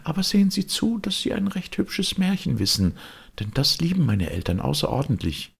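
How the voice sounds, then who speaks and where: Man doing a high, eager young princess. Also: big pitch swings, normal speed, creaky, husky, exaggerated voice. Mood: eager, instructing. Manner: high-pitched, instructing.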